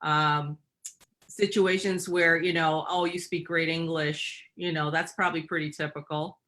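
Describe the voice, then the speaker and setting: A woman's voice: sits low, flat delivery, unhurried, fairly bright tone. A middle-aged woman with a Michigan accent, a guest telling personal stories in reflective talk with frequent fillers. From Michigan, USA. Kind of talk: personal storytelling in interview.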